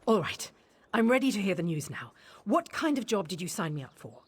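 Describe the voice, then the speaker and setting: A woman's voice: medium pitch, a little fast, slightly rough. A woman voicing an eager, hot-tempered, talkative adventurer in a video game. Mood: excited impatience. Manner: excitable, talkative.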